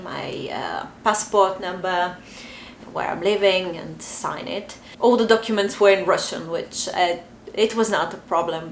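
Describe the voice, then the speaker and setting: Brief: young woman vlogger, Bulgarian accent, hesitant and chatty, roomy echo, faint background hum. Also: medium pitch. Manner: chatty, hesitant, many fillers. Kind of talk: casual talk to camera. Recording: echoey room, faint ambience.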